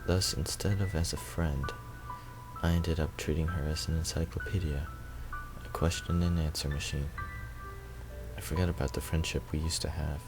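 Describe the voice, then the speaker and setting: A man's voice: a bass voice, fairly even intonation, moderate pace, music in the background, low-quality recording. A man reading first-person visual novel narration aloud.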